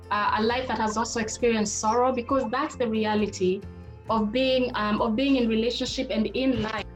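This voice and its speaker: A middle-aged woman hosting a webinar in an informal, conversational way, speaking straight to her listeners. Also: fairly high pitch. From Kenya. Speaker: webinar host and presenter, marriage therapist. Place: online video call.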